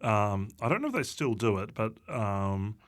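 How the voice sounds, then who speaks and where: Halting low-voiced New Zealand man. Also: very animated. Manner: hesitant, halting, wry. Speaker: podcast guest, comedian. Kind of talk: casual chat, comic anecdotes.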